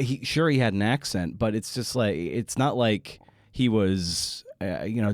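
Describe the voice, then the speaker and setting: A man hosting a podcast interview, speaking thoughtfully in halting phrases with frequent restarts. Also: lowish voice, a little mellow, dry recording, studio quality. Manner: thoughtful, halting, many "uh" and "you know" restarts. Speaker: podcast host. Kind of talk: interview conversation.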